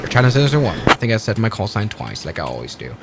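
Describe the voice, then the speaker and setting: A man's voice: on the low side, big pitch swings, rapid-fire, noisy background. A man casually talking through a flight simulator stream, narrating each step aloud and correcting himself as he goes. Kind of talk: casual live-stream commentary.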